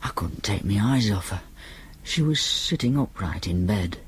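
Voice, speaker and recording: A man's voice: lowish voice, animated, moderate pace, breathy edge. An actor narrating in first person as a young man with a Northern English accent, telling the story in a dry, matter-of-fact way. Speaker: narrator and main character.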